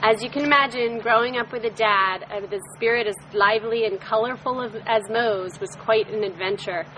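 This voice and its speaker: Bright-voiced woman reading a letter. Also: on the high side, fairly quick, slight background noise, rough recording. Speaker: daughter reading her email aloud.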